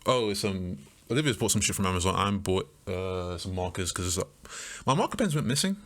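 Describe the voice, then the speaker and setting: A young man co-hosts a relaxed podcast, loud and joking, full of slang. His voice sits fairly low but leaps around in pitch, and he talks at a fast clip. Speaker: podcast co-host. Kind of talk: casual chat.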